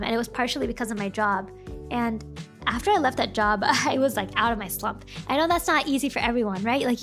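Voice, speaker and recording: Vtuber lady chatting w/ her viewers, kinda broken voice, higher pitch, rambly, soft music behind. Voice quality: slightly broken voice. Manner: rambling, chatty, answers chat. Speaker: VTuber streamer. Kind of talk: casual chat stream.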